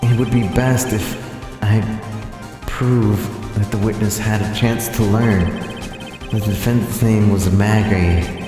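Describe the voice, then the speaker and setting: A woman voicing an earnest, unsure young male defense lawyer in a courtroom game, sometimes halting, sometimes assertive. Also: rumbling low, steady tone, over music. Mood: earnest, deliberate. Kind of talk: courtroom video game voice acting.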